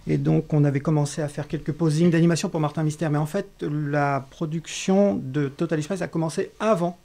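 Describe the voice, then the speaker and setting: A man's voice: on the high side, steady tone, fairly quick, gravelly, dark tone. A man explaining his work as an interview guest, hesitant but methodical. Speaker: guest animation artist.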